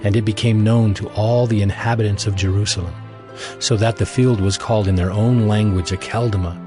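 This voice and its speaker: A man with a fairly low voice narrates scripture over prominent music. His scripted delivery is solemn and measured, as a storyteller of a biblical drama. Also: fairly even intonation.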